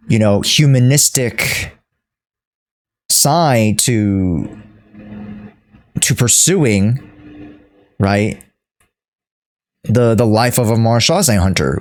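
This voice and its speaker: A man talking off the cuff to his livestream chat, halting and repeating himself as he searches for words. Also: fairly low pitch, darkish tone. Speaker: streamer. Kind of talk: unscripted livestream commentary to chat.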